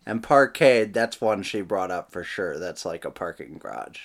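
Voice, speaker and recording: American man, podcast co-host, very lively pitch swings, wry joking banter, casual sports chat. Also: normal speed, vocal fry.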